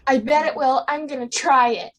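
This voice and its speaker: A woman's voice: high-pitched, normal speed, background noise, close to an everyday voice. A woman voicing a bratty, defiant little boy in a radio play, whiny and demanding. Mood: eager, pushy.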